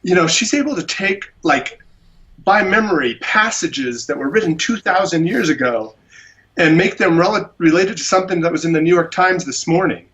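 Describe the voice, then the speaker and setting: A man's voice: sits high, lively intonation, moderate pace, fairly bright tone, low-quality recording. A man, an animal rights attorney, speaking as a guest in a phone interview and talking enthusiastically. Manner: enthusiastic, gushing about a speaker.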